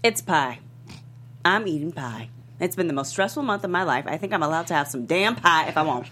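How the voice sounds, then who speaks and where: A woman's voice: sits low, lively intonation, brisk, bright, forceful tone, a little hiss or hum. An actress playing a proud, stressed female talk-show star in a script table read, with an emphatic, wounded delivery. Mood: defensive outburst.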